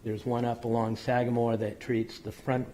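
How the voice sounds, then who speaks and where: A man presenting a subdivision plan at a public board meeting, methodical and technical with frequent ums and self-corrections, his voice slightly hoarse. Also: mid-range voice, flat delivery, moderate pace, mellow. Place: city council chamber.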